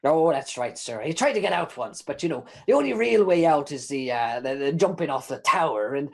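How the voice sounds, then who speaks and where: A man's voice: a little high, expressive, brisk, a little mellow. A man voicing a chatty, cheeky imprisoned informant who rambles and stammers in old-timey folksy phrasing. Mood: rambling.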